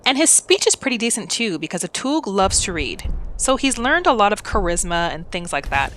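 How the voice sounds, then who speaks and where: A woman's voice: mid-range voice, sing-song, normal speed, a bit bright, faint music behind. A woman narrating a character's backstory for a video game roleplay in a scripted storytelling style.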